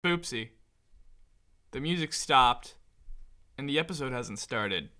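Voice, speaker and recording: A self-regarding audio-drama adventurer, acted by a woman, with a theatrical, grand delivery. The voice is bright and lively, rising and falling freely. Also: a bass voice, slow, dry recording, studio quality, exaggerated voice. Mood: exasperated.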